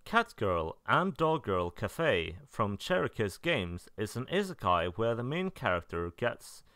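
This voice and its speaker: Male review narrator, lowish pitch, very varied intonation, deadpan humor, dry recording. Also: unhurried, no echo. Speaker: video narrator reviewing game releases. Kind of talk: semi-scripted review narration.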